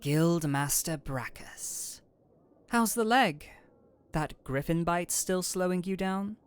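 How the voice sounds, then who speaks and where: A man acting a gentle, weary, protective village doctor in an intimate fantasy roleplay, soft-spoken and hesitant, often breathy. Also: sits high, slow pace. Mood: guarded, defiant. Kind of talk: intimate roleplay audio drama.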